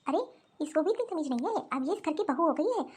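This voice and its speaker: A woman's voice: high voice, lively intonation, quick pace, a little mellow, slight background noise. A woman playing a strict, nagging mother-in-law in a Hindi family cartoon, scolding and insistent.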